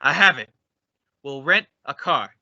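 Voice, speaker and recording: Sharp, bright-voiced exasperated straight man played by a man. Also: medium pitch, animated, measured pace, creaky, muffled recording, slight background noise, exaggerated voice. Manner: exasperated explaining, scolding. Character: exasperated, bossy straight man of a comedy duo. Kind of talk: old-time radio comedy acting.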